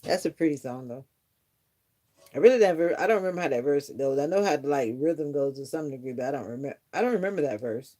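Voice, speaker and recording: Middle-aged lady rambling on a home livestream, husky and mellow, kinda reflective. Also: deep voice, animated, quick pace. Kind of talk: casual live-stream chat, answering viewers.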